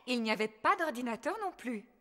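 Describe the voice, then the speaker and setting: A woman voicing a kind, patient mother pig in a French cartoon dub, explaining gently. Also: a little high, lively intonation, a little fast, big-room sound. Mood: gentle.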